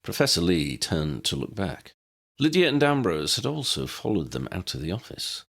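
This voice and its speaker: Low, very expressive male storyteller. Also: moderate pace, vocal fry. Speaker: audiobook narrator. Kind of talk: scripted story narration.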